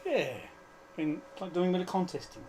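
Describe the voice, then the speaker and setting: A man with an Australian accent chatting casually as a guest, explaining his hobby in a halting, colloquial way. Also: sits high, expressive, background noise. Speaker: guest, amateur radio operator. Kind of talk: casual chat. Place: club radio studio.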